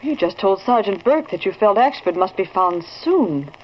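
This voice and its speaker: An actress playing an efficient, concerned office secretary in a 1940s radio drama, polite and earnest. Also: female voice, mid-range voice, very animated, normal speed, a little hiss or hum, close to an everyday voice. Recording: dull vintage radio recording.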